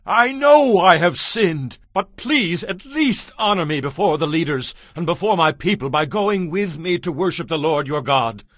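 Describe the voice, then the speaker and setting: Animated, self-justifying king. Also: on the high side, moderate pace, hardly any pauses, dry recording, clean studio sound. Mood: pleading. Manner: pleading, defensive. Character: self-justifying, weak-willed king of ancient Israel. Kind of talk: dramatized audio Bible acting.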